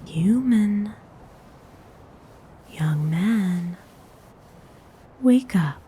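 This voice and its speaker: Casting: actress to play a doting, possessive vampire noblewoman for ASMR roleplay, very breathy whisper, low and unhurried, courtly manner. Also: dark tone, full character voice. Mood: gentle, admiring. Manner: slow, refined, formal, coaxing.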